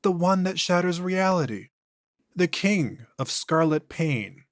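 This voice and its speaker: Cold, imperious possessing entity in a horror audio drama, voiced by a man, slow and detached. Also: high-pitched, animated, no echo, studio quality, pitched above the performer's own voice.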